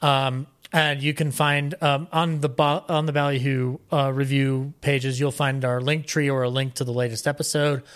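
A man talking casually as a podcast guest, halting and effusive in manner. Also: medium pitch, flat delivery, fairly bright tone. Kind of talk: casual chat.

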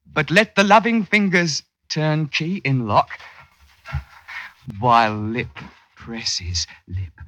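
An actor playing a smooth-talking young thief and ladies' man, wheedling in flowery period speech in an old radio drama. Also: on the high side, slow, bright, forceful tone, no room sound. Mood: dreamy, romantic.

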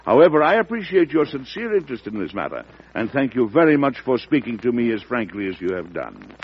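A man acting a weary, firm and principled President in an old-time radio drama, speaking in a measured, formal way. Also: mid-range voice, big pitch swings. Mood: formal, composed. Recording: dull, noisy vintage radio recording.

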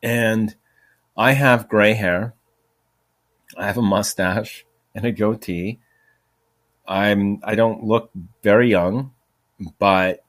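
A man in his forties spins a long, wandering anecdote in a loose, chatty way. His voice sits a bit on the low side, and he keeps laughing at himself and cursing as he drifts off topic. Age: 40s. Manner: chatty, profane, self-mocking, drifts off topic. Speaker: podcast host. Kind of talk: rambling casual storytelling.

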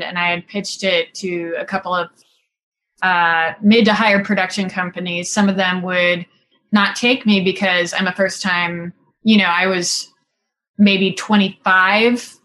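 A woman in her thirties who grew up in Singapore talks through her filmmaking as an interview guest. Her voice sits at an ordinary middle pitch, and she strings thoughts together loosely with plenty of "like" and "you know." She laughs easily. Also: fairly bright tone. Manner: chatty, full of "like" and "you know" fillers, laughs often. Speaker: film director and cinematographer guest. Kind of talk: casual interview chat.

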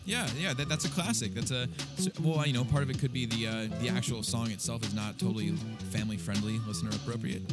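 A man's voice: mid-range voice, quick pace, hardly any pauses, loud music behind the voice. A man in his thirties hosting a casual sports podcast, bantering easily and laughing often. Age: 30s. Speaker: podcast host.

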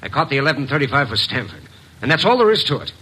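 A man's voice: mid-range voice, sing-song, rapid-fire, bright, forceful tone, breathy edge, exaggerated voice, low-quality recording. An actor playing a male ex-convict under questioning, sounding defensive, insistent and hesitant in 1940s radio style.

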